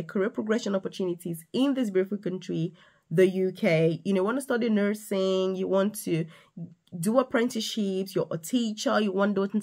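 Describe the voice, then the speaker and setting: Woman in her 30s vlogging from a small room, animated and emphatic, talks right at you, mid-pitch voice. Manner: animated, emphatic, addresses viewer directly. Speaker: vlogger, nurse and career coach. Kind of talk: casual direct-to-camera vlog. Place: small indoor room with decorated wall.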